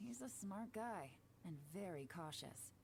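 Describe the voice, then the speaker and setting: An actress playing a cool, shrewd spymaster in a fantasy video game, composed, deliberate and sly. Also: female voice, mid-range voice, expressive, gravelly, darkish tone, a little hiss or hum. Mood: composed, analytical. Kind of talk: scripted video game dialogue.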